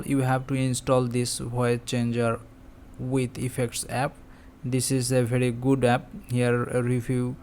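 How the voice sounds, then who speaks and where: Man, tutorial presenter, slow pace, monotone delivery, halting phrasing. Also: medium pitch, a little hiss or hum. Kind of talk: step-by-step app tutorial.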